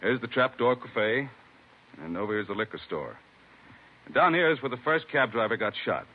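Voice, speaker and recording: A man playing an authoritative American police superior, curt and gruffly protective, in 1940s radio acting style. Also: mid-range voice, very animated, moderate pace. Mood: brisk, commanding. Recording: tinny vintage radio recording.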